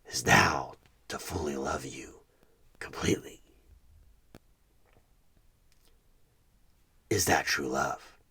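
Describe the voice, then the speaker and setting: A man playing a sinister, coaxing evil recruiter who bargains for souls in a creepy ASMR horror roleplay, husky and half-whispered, with deliberate dramatic pauses. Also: a bass voice, fairly bright tone, heavily acted. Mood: taunting, probing. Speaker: audio roleplay performer.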